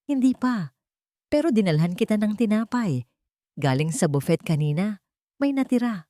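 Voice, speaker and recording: A woman voicing a meek, weary cleaning-maid mother, soft-spoken and gentle, in a story. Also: mid-range voice, lively intonation, moderate pace, no echo, clean studio sound, voice pushed up, lightly acted. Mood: tender.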